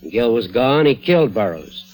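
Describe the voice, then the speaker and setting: An actor as a matter-of-fact detective in a vintage radio mystery. His medium-pitched voice moves up and down a great deal, with a casual, knowing manner. The recording is a 1940s broadcast. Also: very animated, normal speed, darkish tone, faint music behind, natural voice, poor audio. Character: matter-of-fact male detective explaining the case. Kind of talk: 1940s radio drama acting.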